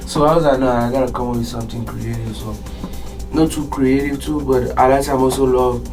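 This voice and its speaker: A man, chatting casually as a podcast guest in a relaxed, chuckling way. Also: mid-range voice, fairly quick, far from the mic, soft background music. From Burkina Faso. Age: 20s. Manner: laid-back, chuckling, loose filler-heavy talk. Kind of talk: casual interview chat. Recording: voice a bit off-mic with faint room noise.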